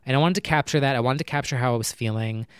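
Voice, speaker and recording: A young man chatting casually at night, rambling with lots of fillers and talking low so his neighbors won't hear. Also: rapid-fire, clean tone, no echo, clean studio sound. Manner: talking low and quietly to keep neighbors from hearing. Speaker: vlogger. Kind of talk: casual personal chat to camera. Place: apartment at night.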